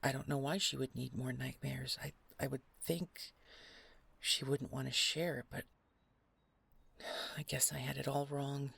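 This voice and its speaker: A woman playing an anxious, protective nightmare creature in a horror audio roleplay, with a husky, gravelly voice and a halting, earnest manner. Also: extremely low pitch, flat delivery, fairly bright tone, no room sound, full character voice. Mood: resolute, protective. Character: protective, anxious female nightmare companion, devoted to human.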